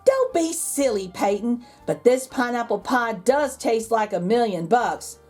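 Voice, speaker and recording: A woman's voice: on the high side, big pitch swings, unhurried, a little mellow, faint music behind. A woman voicing a playful, slightly sly grandmother in a children's storybook, teasing and confiding. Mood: breezy. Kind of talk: children's storybook read-aloud.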